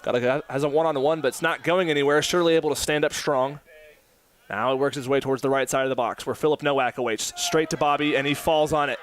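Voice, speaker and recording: Young male soccer announcer, fairly high voice, quick play-by-play, outdoor stadium. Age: 20s. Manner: rapid play-by-play that climbs to excited shouting. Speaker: play-by-play commentator.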